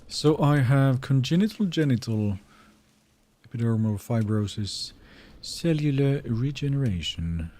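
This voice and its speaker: Slow, musing Swedish-accented man. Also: medium pitch, expressive, dark tone. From Sweden. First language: Finnish. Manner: thinking aloud, musing and answering chat. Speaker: game streamer. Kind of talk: casual live-stream chat while gaming.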